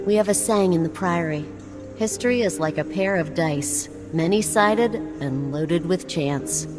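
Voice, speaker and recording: A woman voicing a scholarly, wry explorer in a video game, speaking in a measured, articulate way. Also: on the low side, lightly acted. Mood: reflective. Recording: game music underneath.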